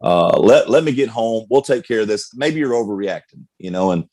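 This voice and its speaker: A man's voice: lowish voice, lively intonation, a little fast, darkish tone, no room sound. A middle-aged man, a pastor, telling his life story candidly in conversation, with frequent restarts. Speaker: pastor, guest. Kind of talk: personal testimony in conversation.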